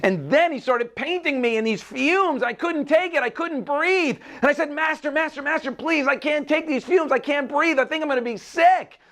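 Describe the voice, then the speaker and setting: A man's voice: very high-pitched, steady tone, a little fast, hardly any pauses, fairly bright tone, pitched above the performer's own voice. A man voicing a fragile talking teacup from a story, panicky and melodramatic, begging over and over. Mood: distressed. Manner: breathless repetitive begging, melodramatic.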